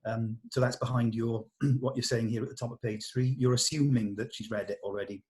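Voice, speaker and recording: A man leading a script table read, explaining notes to actors and often pausing mid-thought. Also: medium pitch, steady tone, darkish tone.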